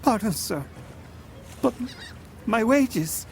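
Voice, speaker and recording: A man's voice: high-pitched, lively intonation, very slow, vocal fry, a little hiss or hum, full character voice. A man playing a naive, earnest young Italian peasant boy in an audio drama. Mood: apologetic, meek.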